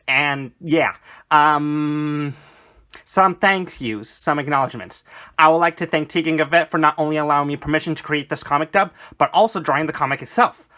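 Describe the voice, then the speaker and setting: A man's voice: on the high side, pushed and bright. A man speaking as an enthusiastic video creator, giving a casual scripted thank-you to viewers. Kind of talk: scripted outro with casual asides.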